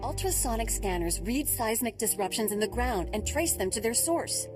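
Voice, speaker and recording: A woman's voice: fairly high pitch, expressive, moderate pace, over music, lightly acted. A woman playing a compassionate, earnest research scientist in a video game, articulate and explanatory. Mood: urgent. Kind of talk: video game cutscene acting.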